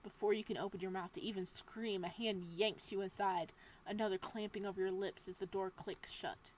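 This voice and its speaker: A woman's voice: medium pitch, little pitch movement, brisk, a little mellow, slight background noise. A woman reading a horror visual novel aloud, breaking off for playful, joking asides. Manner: playful asides between narration. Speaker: game streamer.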